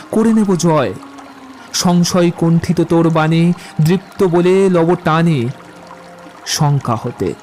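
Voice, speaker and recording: An actor playing a witty, poetry-loving young romantic suitor in an audio drama, with eloquent, theatrical delivery. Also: male voice, a little high, a little mellow. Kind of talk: Bengali audio drama acting.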